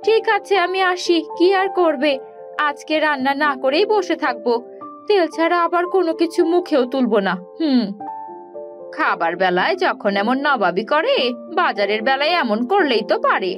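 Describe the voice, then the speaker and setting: A woman voicing a feisty, sharp-tongued village wife in a cartoon, exasperated and quick to scold. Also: high-pitched, very animated, music in the background. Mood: weary complaint. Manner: scolding, retorting. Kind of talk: animated cartoon dialogue.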